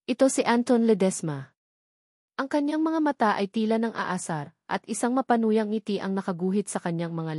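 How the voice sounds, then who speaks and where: A woman narrating a romantic drama story in a steady storytelling delivery. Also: mid-range voice, no room sound, clean studio sound.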